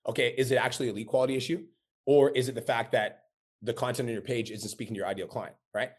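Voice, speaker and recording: A man's voice: mid-range voice, fairly even intonation, fast. A man teaching a live coaching webinar, blunt and casual with frequent profanity. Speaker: business coach.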